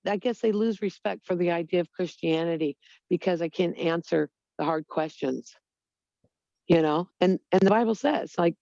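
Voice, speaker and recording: A woman's voice: medium pitch, vocal fry, a little mellow, no room sound, muffled recording. A woman talking earnestly in a casual group chat, rambling with lots of fillers. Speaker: Bible study group member.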